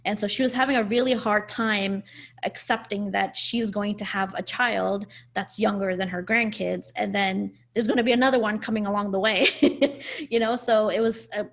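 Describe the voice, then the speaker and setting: Afghan-born woman, candid and a little gravelly. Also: mid-range voice, steady tone, moderate pace. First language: Farsi. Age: 40s. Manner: candid, conversational, with frequent fillers and self-corrections. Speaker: guest sharing her life story. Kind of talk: personal storytelling interview.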